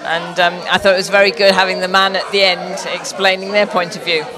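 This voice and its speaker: A man's voice: high-pitched, level tone, brisk. An enthusiastic, approving man giving an unscripted audience reaction interview. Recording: busy crowd behind voice.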